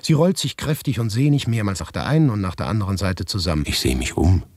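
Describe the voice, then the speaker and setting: An actor playing an anguished, paranoid writer who narrates his grotesque misadventure in a measured, inward radio monologue, with a husky low voice that slips into whispers. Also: expressive, darkish tone, dry recording. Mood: resigned, subdued. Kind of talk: literary radio monologue, first-person narration.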